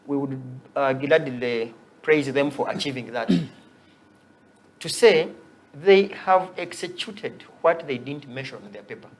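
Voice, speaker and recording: A man's voice: medium pitch, a little slow, poor audio. A young man with a Ugandan accent, an activist guest on a talk show, who makes wry jokes as he speaks. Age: young adult. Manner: wry and joking, laughs at his own points.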